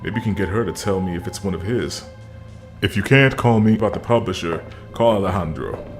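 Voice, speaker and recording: A man playing a world-weary police detective in an audio drama, gruff, terse and dry. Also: low voice, a little fast, faint music behind. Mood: dry, familiar.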